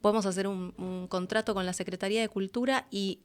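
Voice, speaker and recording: A woman with a Rioplatense accent from Argentina speaks as a guest in a relaxed interview. Her voice is smooth and resonant at an average pitch. She talks in a casual storytelling way, slipping into quoted dialogue and little asides. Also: a little fast. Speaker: interview guest, voice-over artist and producer.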